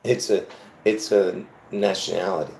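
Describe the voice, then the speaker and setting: Man, livestream host, rambling, chatty, laughs often, lowish voice, echoey room. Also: steady tone, moderate pace, vocal fry, a little mellow, slight background noise. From born in Lebanon. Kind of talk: casual solo livestream monologue. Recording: roomy echo.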